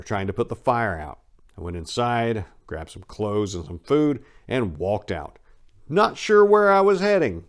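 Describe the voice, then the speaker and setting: A man narrating a first-person story as a dramatic reading, in a colloquial, expressive way. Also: fairly low pitch. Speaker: audiobook narrator.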